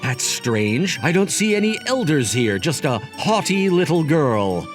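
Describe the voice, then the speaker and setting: A man's voice: fairly high pitch, sing-song, a little slow. An actor playing a smug, logic-obsessed young man who narrates and banters theatrically in a manga dub. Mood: teasing, incredulous. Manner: smug, wordy, theatrical, dramatic asides. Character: cocky, logic-obsessed young male job seeker, teasing romcom narrator. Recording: music behind the voice.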